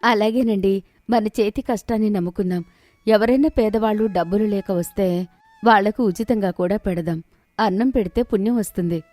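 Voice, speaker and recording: A woman voicing a poor, confident village wife in an animated story, reassuring and determined. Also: mid-range voice, normal speed, no echo, soft background music, close to an everyday voice. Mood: kind.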